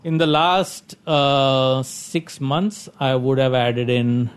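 Halting Indian-accented man in an interview. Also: mid-range voice, smooth. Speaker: company executive being interviewed. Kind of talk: interview answers, informal explanation.

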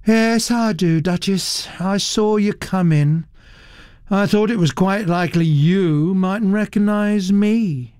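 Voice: mid-range voice, expressive, a little slow, darkish tone, no echo, studio quality, pitched above the performer's own voice, lightly acted. A narrator playing an indifferent, sardonic young woman artist who speaks in a lazy, placid drawl. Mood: lazy indifference.

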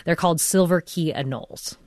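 A woman with a fairly low voice walks through science stories. She keeps an upbeat, casual tone with an occasional "like." The sound is close and dry. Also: a little fast, a little mellow, dry recording. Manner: upbeat, explanatory, uses "like". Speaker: science podcast co-host. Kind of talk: explaining science news. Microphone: studio mic.

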